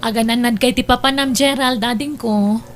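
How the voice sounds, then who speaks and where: A woman's voice: fairly high pitch, fairly even intonation, moderate pace, music in the background, lightly acted. A woman playing a frank, inquisitive and protective older sister in an Ilocano drama, with an Ilocano accent. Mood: frank, teasing. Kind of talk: Ilocano radio-style family drama acting. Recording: noticeable hum behind voice.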